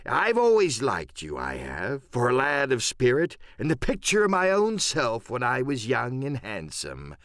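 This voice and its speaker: A man voicing an older pirate captain in an audiobook, sly and genial yet menacing, drawling sailor's slang in unhurried phrases. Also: mid-range voice, huge pitch swings, a bit bright, no echo, clean studio sound, full character voice. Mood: coaxing. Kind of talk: audiobook radio-play character acting.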